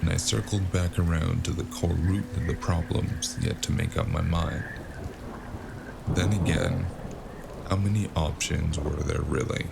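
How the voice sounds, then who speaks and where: A man playing a world-weary, wry noir freelancer in a gravelly voice, narrating a cyberpunk fantasy audio drama in the first person. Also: low voice, steady tone, very noisy, exaggerated voice. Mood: brooding, wry. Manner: wry, brooding, unhurried. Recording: constant loud music underneath. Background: loud music.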